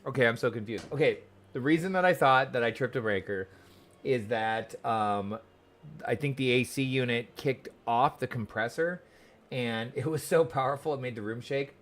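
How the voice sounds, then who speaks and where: A man's voice: mid-range voice, fairly bright tone. A man with an American accent chatting casually on a game livestream, rambling and self-deprecating as he reads and answers viewers' messages. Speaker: game streamer. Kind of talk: casual livestream chat over gameplay. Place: small room at home.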